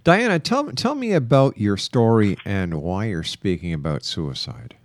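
A man's voice: on the low side, big pitch swings, measured pace, no room sound, clean studio sound. A man hosting a radio interview in a polished, emphatic broadcaster's delivery. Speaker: radio talk show host.

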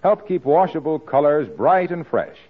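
Hugely swooping male announcer, vintage radio ad read, mid pitch, dull lo-fi sound. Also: big pitch swings, normal speed. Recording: muffled old radio recording.

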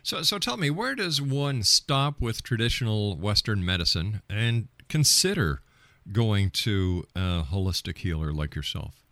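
A man's voice: fairly low pitch, sing-song, measured pace, fairly bright tone, no echo. A man hosting a radio interview, putting probing, challenging questions to a guest in a conversational way.

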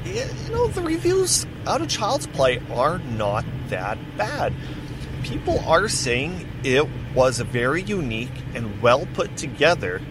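Rambling man with background hiss. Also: fairly high pitch. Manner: rambling, self-correcting, chatty. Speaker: podcast host. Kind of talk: casual solo monologue. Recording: steady background noise.

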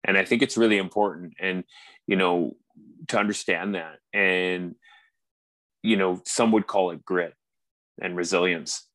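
A man's voice: low-pitched, steady tone, fairly bright tone, no echo. A man in his forties with a Canadian accent, talking earnestly in a casual conversation and often restarting his sentences. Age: 40s. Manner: earnest, halting with frequent restarts. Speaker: podcast host.

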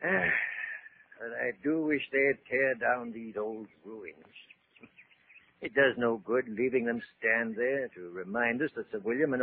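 Genial, neighbourly villager played by a man, mid-pitched, chatty, vintage radio drama with slight hiss. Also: close to an everyday voice. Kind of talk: 1940s radio drama acting. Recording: narrow-band noisy 1940s radio recording.

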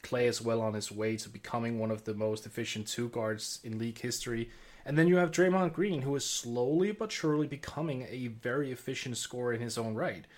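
A man co-hosting a basketball podcast, analysing in a halting way with many restarts and small chuckles. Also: mid-range voice. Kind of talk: casual sports analysis chat.

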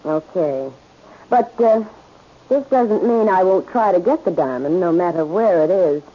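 A woman's voice: lowish voice, lively intonation, a little slow. An actress playing a stubborn, scheming female crime boss, curt and defiant, in 1940s radio style. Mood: bargaining. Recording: muffled old-time radio recording.

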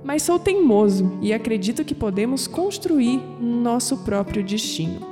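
A woman playing a young, clumsy, curious granddaughter in an audio drama, expressive, with a Brazilian accent. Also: on the high side, unhurried, long reverb tail, faint music behind. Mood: tender, reading solemnly. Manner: expressive, musing aloud to herself.

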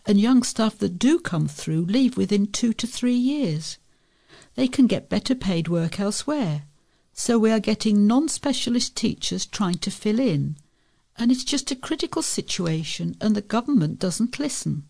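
Creaky-voiced woman reading local news. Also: medium pitch, darkish tone. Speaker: volunteer newspaper reader.

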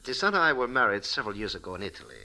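An actor playing a nervous, courtly Italian count in a radio drama, speaking formally and haltingly. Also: male voice, on the low side, big pitch swings, bright, forceful tone, full character voice. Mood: earnest pleading. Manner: formal, hesitant, polite, halting. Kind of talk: 1940s radio drama acting.

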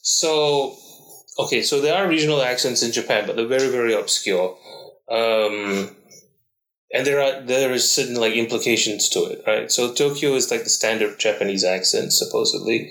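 A man's voice: mid-range voice, normal speed, a bit bright. A man in casual conversation on a podcast, explaining things at length with many fillers. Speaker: podcast co-host. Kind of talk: casual chat. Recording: echoey room.

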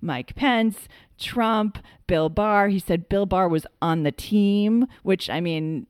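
A woman's voice: medium pitch, slow pace, smooth, dry recording, clean studio sound. A woman co-hosting a podcast, chatting casually about political news in an emphatic, incredulous, colloquial way. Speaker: podcast co-host.

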